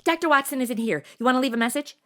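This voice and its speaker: A woman's voice: high-pitched, racing, sharp tone, a little hiss or hum. A woman playing a chatty, cheeky, jittery modern young woman in a comedy audio drama, slangy and over-caffeinated. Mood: casual, breezy.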